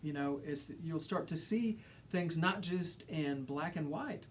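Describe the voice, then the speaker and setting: A man's voice: on the high side, a little slow, slight background noise. A middle-aged man from Alabama with a Southern accent, giving an earnest, enthusiastic welcome lecture as a college instructor, often repeating phrases for emphasis. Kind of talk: lecture-style course welcome.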